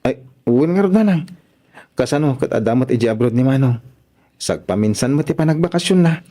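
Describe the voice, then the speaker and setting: A man's voice: mid-range voice, animated, fairly quick, dark tone, close to an everyday voice. A man playing a shy, flustered young man in a radio drama, speaking hesitantly with stammers. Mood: dutiful, reassuring. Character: shy, flustered young man tempted by his sister-in-law.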